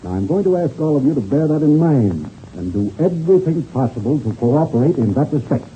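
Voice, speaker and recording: An actor playing a formal, courteous race official in a 1930s radio serial, speaking in deliberate speeches. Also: male voice, fairly high pitch, lively intonation, natural voice. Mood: formal, firm. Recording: muffled old radio recording, faint noise.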